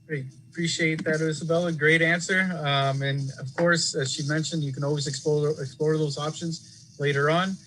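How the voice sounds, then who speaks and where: A man's voice: on the high side, fairly even intonation, moderate pace, a bit bright, poor audio. A man moderating a panel question session, polite and a little hesitant with many fillers.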